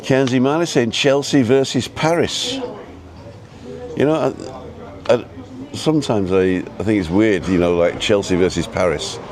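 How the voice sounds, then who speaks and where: Deep voice guy doing a laid back live stream, chatty, stop-start, chatting to viewers. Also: a little mellow. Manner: easygoing, chatty, halting as he reads and answers viewer comments. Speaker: live-streaming vlogger and host. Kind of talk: casual rambling live vlog chat. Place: outdoors at a restaurant overlooking the road and ocean.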